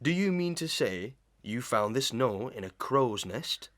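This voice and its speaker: A blustering, pompous old village schoolmaster in Victorian melodrama. His bright, forceful voice swoops widely in pitch and takes its time, with theatrical hems and drawn-out words. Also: sing-song. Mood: sententious. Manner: theatrical, hemming, drawn-out, full of stock sayings. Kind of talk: Victorian melodrama audio acting.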